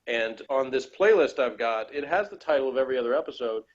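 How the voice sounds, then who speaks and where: A man's voice: mid-range voice, lively intonation, quick pace, dull audio. A man chatting casually as co-host of a TV episode review.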